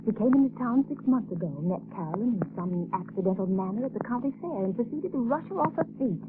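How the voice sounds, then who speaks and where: An actress in a 1930s radio drama playing a spirited, concerned society woman who talks briskly and earnestly. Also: on the high side, lively intonation, lightly acted. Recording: hissy tinny old radio broadcast.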